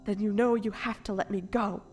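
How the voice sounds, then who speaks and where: A woman playing a frightened but defiant noblewoman in an audio drama, with a halting, stammering, anguished delivery. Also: medium pitch, steady tone, a little mellow, soft background music, close to an everyday voice. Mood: defiant, suspicious. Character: distraught revived noblewoman, mother, frightened and defiant.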